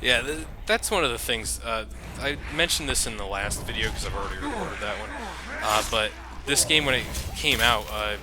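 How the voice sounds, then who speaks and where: A man's voice: mid-range voice, moderate pace, very noisy. A man hosting a let's play, talking casually and rambling with lots of fillers as he plays. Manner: relaxed, rambling, with frequent fillers. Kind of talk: casual gameplay commentary.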